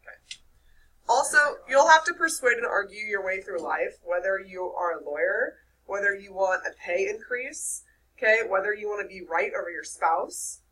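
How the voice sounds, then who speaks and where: A young woman with a nasal voice teaching a high school English class, lively and checking in with her students. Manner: lively, checks in with "okay?" often. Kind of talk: classroom lecture. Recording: distant mic with faint room noise.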